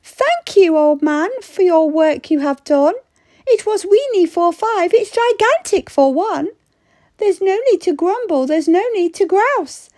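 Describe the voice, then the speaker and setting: A woman's voice: extremely high pitch, animated, a little slow, darkish tone, no echo, studio quality, voice pushed up. A woman voicing a flustered, grumbling little old lady from a rhyming picture book, plaintive and exclamatory. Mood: relieved, delighted.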